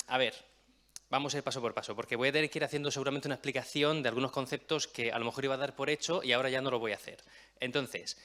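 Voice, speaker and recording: Young male speaker, quick and chatty, explains step by step with casual asides. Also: mid-range voice, bright, forceful tone. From Murcia, Spain. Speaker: guest speaker. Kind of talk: public talk.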